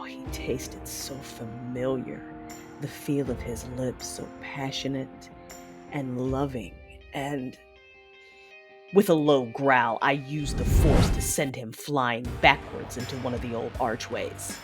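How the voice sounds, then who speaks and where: A woman's voice: very deep voice, steady tone, slow pace, music in the background. A woman playing a fierce, conflicted Sith woman who narrates a sci-fi audio drama with controlled, brooding drama. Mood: simmering passion.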